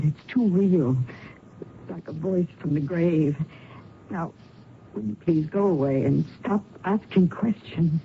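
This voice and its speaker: Defensive, grieving widow, halting and indignant, old radio drama, muffled with background noise. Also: female voice, fairly low pitch. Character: distraught, defensive widow of a missing man. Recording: dull, noisy old radio recording.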